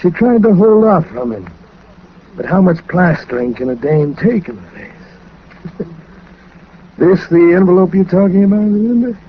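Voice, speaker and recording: A man's voice: sits high, lively intonation, measured pace, pauses often. An actor playing a smug, menacing American gangster boss in a 1940s radio crime drama, taunting in slangy tough-guy talk. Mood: cruel taunting. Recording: muffled, noisy 1940s radio recording.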